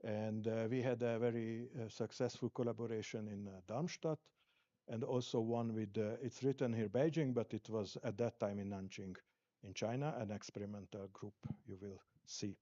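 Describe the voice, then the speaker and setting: Hungarian-accented male lecturer, mellow tone, halting delivery, dull recording. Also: on the low side, fairly even intonation. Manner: halting, heavy with hesitations. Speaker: invited lecturer. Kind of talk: scientific conference talk.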